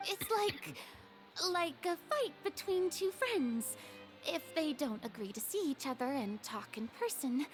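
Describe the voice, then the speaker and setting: A woman's voice: sits high, expressive, measured pace. An actress playing a self-important but flustered young female ruler in a video game, dramatic, pompous and hesitant. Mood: defensive, evasive. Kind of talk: scripted video game dialogue. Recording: music under the game dialogue.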